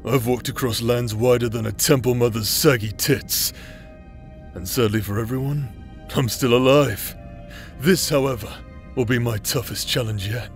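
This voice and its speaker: A man's voice: mid-range voice, normal speed, faint music behind, natural voice. A man voicing a proud, foul-mouthed, grumpy warrior in a comedic fantasy audio drama, with a sardonic, irritable manner. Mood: grim, weary.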